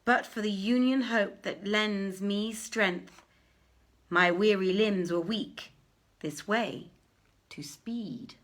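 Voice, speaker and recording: A woman voicing a weary, lovesick young prince in a storybook, declaiming in a fervent, drawn-out manner. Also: mid-range voice, fairly bright tone. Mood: impassioned longing. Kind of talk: storybook verse recitation.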